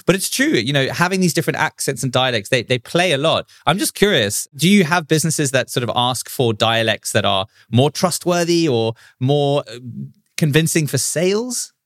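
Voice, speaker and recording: A man's voice: on the high side, animated, normal speed, fairly bright tone, no echo, clean studio sound. A man with a British accent hosting an interview, asking curious questions and prompting with short hums. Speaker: podcast host. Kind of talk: interview conversation.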